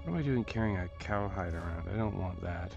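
A man giving casual commentary on his own gameplay, muttering and thinking aloud with halting delivery and mild folksy exclamations. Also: low voice, lively intonation, gravelly, lo-fi sound. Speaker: gamer narrating own playthrough. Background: video game music.

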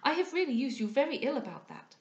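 A woman's voice: sits high, expressive, fast talker, darkish tone, echoey room, highs cut off, pitched above the performer's own voice. A woman voicing a careless, gushing society lady with an offhand, exclamatory manner in an audiobook. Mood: breezy, gushing. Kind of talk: dramatised audiobook character voice.

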